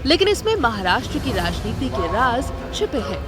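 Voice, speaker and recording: Woman narrating a news report, highish voice, clear music underneath. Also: big pitch swings, moderate pace. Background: background music.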